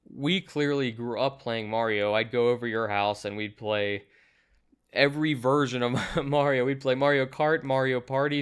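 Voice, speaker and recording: A man's voice: mid-range voice, clear, resonant tone. A young man giving a casual, conversational movie review, talking in loose, chatty asides. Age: young adult.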